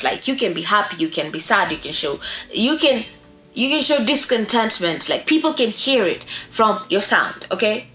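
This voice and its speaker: A woman's voice: fairly high pitch, fairly bright tone. A young adult woman giving an instructional talk to camera as a presenter, emphatic and often checking in with her listeners. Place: small indoor room, seated. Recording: echoey room with faint music.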